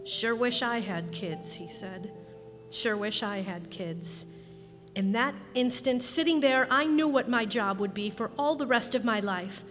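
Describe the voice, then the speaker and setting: A woman's voice: on the high side, lightly acted. A woman playing a polite, wistful young boy in a radio drama. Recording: echoey hall, music behind.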